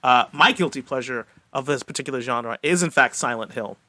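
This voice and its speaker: A man's voice: medium pitch, fairly quick, bright, forceful tone. A man co-hosting a podcast in casual film talk, with a theatrical manner and a habit of repeating himself as he builds to a point. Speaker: podcast co-host. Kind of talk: casual chat about films.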